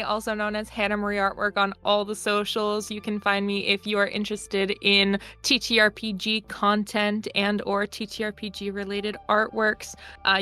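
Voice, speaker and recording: Girl player in a tabletop rpg, really bright clear voice, casual, music low behind. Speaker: player and performer in tabletop games. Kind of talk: casual game talk and introductions.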